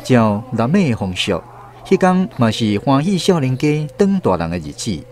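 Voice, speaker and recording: A man with a Taiwanese accent narrating a literary radio drama as an expressive storyteller. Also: medium pitch, moderate pace, a crowd in the background.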